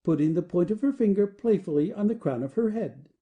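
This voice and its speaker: A woman's voice: lowish voice, animated, brisk, phone-quality sound. A woman giving scripted narration, reading out the stage directions of an audio play.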